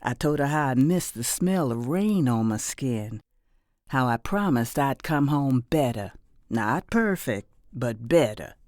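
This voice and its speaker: An actress playing a reflective, weary but resilient former prisoner and mother in middle age, delivering an intimate, deliberate audio drama monologue. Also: very deep voice, expressive, a little mellow, no room sound, studio quality. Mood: hopeful, resolute.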